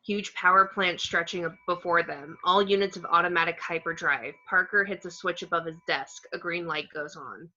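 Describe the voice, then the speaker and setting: A woman's voice: fairly low pitch, fairly even intonation, normal speed, few pauses, pushed and bright, a little hiss or hum. A woman reading out screenplay scene descriptions as the narrator of a script table read. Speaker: reader of the action description. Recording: dull, lo-fi sound.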